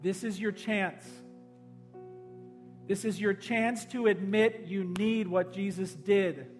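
A pastor delivering an altar call sermon, urging and pleading, swinging from loud appeals to hushed, quiet invitations. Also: male voice, high-pitched, slow, soft background music. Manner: urgent, pleading, swells loud then drops to a hush.

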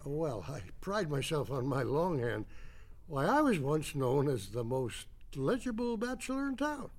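Elderly speechwriter, pompous, courtly, old-fashioned diction, very animated intonation, audio drama. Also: male voice, on the high side, measured pace. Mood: courtly, proud. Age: older.

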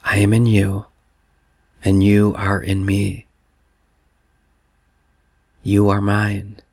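A man voicing God the Father as a tender, loving male spirit, repeating gentle affirmations. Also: on the low side, completely flat delivery, very slow, dry recording, heavily acted.

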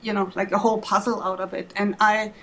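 A woman from Germany in her fifties with a German accent, speaking as an activist guest in a casual, halting way with many fillers and restarts. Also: mid-range voice, little pitch movement, fairly bright tone. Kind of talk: casual spoken perspective.

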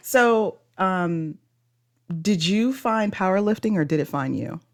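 A woman's voice: medium pitch, expressive, takes it slowly. A woman hosting a podcast interview, who talks casually and encouragingly and laughs often. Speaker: podcast host.

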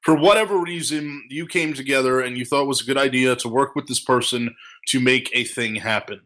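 A man's voice: mid-range voice, brisk, sharp tone. A man talking casually and earnestly as part of a podcast discussion. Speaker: podcast panelist.

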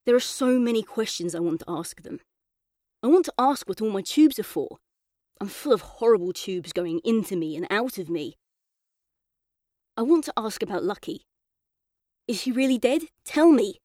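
A woman reading a children's audiobook as a chatty, earnest young boy telling his own story, expressive and colloquial. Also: medium pitch, moderate pace, pauses often, no room sound, clean studio sound, lightly acted. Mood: urgent, eager. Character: boy narrator in a coma, chatty, frustrated, yearning. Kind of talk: first-person children's audiobook narration.

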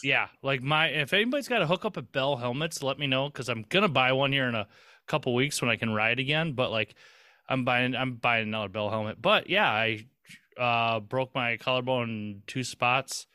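Male podcast co-host, rambling and loose, crisp clear voice. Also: mid-range voice, moderate pace, bright, forceful tone, dry recording, clean studio sound. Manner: rambling, chatty, swears casually, many restarts. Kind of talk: casual podcast chat.

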